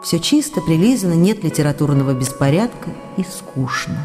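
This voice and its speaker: An actress playing a lonely, adoring wife reading her letters to an absent husband, gently and emotively, in a radio drama. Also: deep voice, animated, moderate pace. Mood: tender longing. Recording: long reverb, faint music behind.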